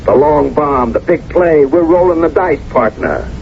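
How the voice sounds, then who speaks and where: Pushy American business partner, radio drama: optimistic, colloquial, swinging intonation, faint vintage hiss. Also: male voice, a little high, animated, a little slow. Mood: urging. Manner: brash, urging, colloquial. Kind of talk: 1970s radio drama acting. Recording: muffled 1970s radio recording, faint noise.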